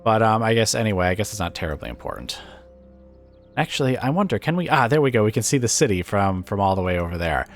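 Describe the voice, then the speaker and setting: Gameplay commentator, man, very low voice, raspy from illness, hesitant and musing, faint game music underneath. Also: big pitch swings, quick pace. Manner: musing, hesitant, thinks aloud with fillers.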